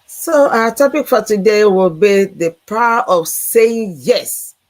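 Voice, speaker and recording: Slow-talking woman. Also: mid-range voice. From Nigeria. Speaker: co-host. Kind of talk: live-stream promo chat.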